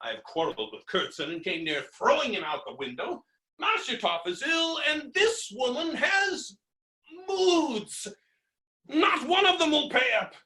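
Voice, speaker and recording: A man's voice: very high voice, big pitch swings, a little slow, sharp tone, exaggerated voice. A man playing a blustering, hot-tempered creditor in a theatrical stage comedy reading, ranting with exasperated, melodramatic exclamations. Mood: exasperated griping. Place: indoor room, recorded remotely. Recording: roomy, echoing virtual staged-reading recording.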